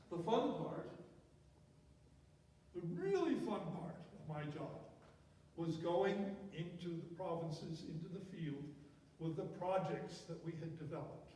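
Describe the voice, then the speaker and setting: A man's voice: a little high, slight background noise. Older man telling stories in an echoey hall. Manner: relaxed storytelling with asides and self-corrections. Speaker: retired UN program director giving a talk. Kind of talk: slide talk with anecdotes. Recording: echoey hall, speaker far from mic.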